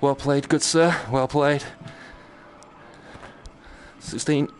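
A man's voice: fairly high pitch, fairly even intonation, drawn-out words. A man giving casual live game commentary, muttering and exclaiming to himself in a jokey, self-deprecating way. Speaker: gaming streamer. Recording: noticeable background noise, game ambience.

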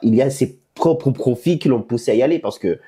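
A young man interviewing someone conversationally, haltingly repeating words as he searches, recorded on a lapel mic indoors. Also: mid-range voice, dark tone, slightly rough. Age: young adult. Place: small meeting room, indoors. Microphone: clip-on lapel mic.

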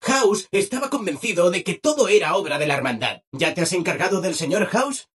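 A high-pitched, husky-edged robot helper from a video game, speaking with a Castilian Spanish accent. The delivery swings widely up and down, chirpy and eager to please, with a politeness that sounds almost mocking. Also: male voice, expressive, fairly quick, fairly bright tone, heavily acted. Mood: chipper, explanatory. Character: relentlessly cheerful, eager-to-please male robot assistant. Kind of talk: scripted video game character acting.

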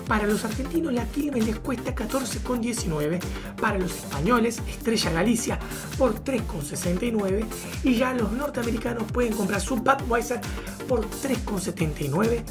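A woman narrating a travel vlog voice-over, briskly listing supermarket prices one after another. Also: on the high side. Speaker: travel vlogger. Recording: voice-over with music underneath.

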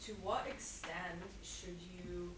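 A woman's voice: fairly low pitch, slow pace. A woman student asking a question in a lecture, hesitant and full of fillers. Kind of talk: audience question. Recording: distant and echoey with noise.

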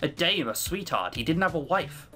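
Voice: mid-range voice, lively intonation, normal speed, bright, forceful tone, soft background music. A game commentator voicing an offhand poor boy who tells a folk tale in blunt, slangy words. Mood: offhand storytelling.

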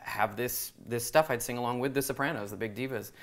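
A man's voice: medium pitch, fairly quick, slight background noise. Hesitant, laughing man from San Diego. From San Diego, USA. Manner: hesitant, laughs often, many fillers. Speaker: guest singer. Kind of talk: casual interview chat. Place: small studio with curtains.

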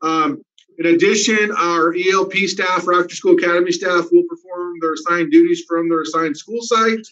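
A school district administrator giving a town hall presentation over a video call, speaking steadily with frequent "um" fillers. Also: male voice, sits high, normal speed, fairly bright tone. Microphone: video call webcam. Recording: dull lo-fi video call audio.

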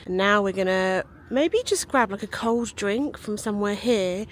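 A woman's voice: mid-range voice, a little slow. Chatty woman vlogging on a street. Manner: chatty, upbeat, speaking straight to camera. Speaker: travel vlogger. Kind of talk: casual travel vlog narration. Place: outdoors on busy city streets and park paths. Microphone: handheld phone camera at arm's length. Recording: outdoor phone recording with street noise. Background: traffic and street noise.

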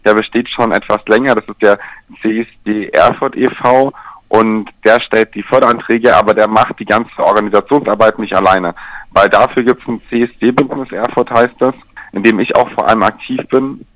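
A man explaining things in a measured, structured way as a guest in a radio telephone interview. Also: on the low side, fairly even intonation, fairly bright tone, no room sound, rough recording. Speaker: interview guest, LGBT pride event organizer. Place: remote, by telephone.

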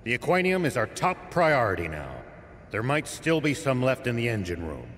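An actor playing a stern older military veteran in a video game, speaking with grave, commanding urgency. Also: male voice, mid-range voice, very animated, moderate pace, a bit bright, in a large hall, a little hiss or hum. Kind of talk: dramatic video game voice acting.

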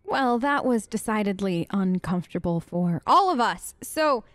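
A woman's voice: medium pitch, lively intonation, a little slow, no room sound, clean studio sound. A woman doing casual role-play gaming commentary as a let's play host, with a hesitant, wry manner.

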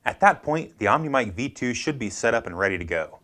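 Male product presenter, American, thirties, animated intonation, scripted how-to delivery. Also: mid-range voice, brisk.

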